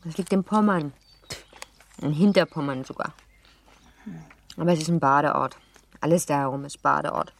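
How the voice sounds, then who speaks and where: A headstrong teenage girl, lowish voice. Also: moderate pace, creaky, long pauses. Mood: chatty. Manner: chatty, teasing, self-assured. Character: lively, headstrong, playful girl of seventeen, well-born. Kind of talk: German radio play acting.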